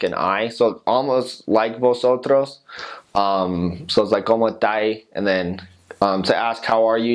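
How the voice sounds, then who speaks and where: An American man casually explaining things to the camera, chatty, with lots of fillers and asides. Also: on the low side. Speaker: former missionary.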